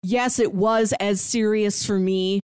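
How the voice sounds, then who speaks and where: A woman's voice: medium pitch, fairly even intonation, slow pace, darkish tone, clean tone, no echo. Conversational woman in a dry, close recording. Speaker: podcast co-host. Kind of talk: casual film recap and commentary.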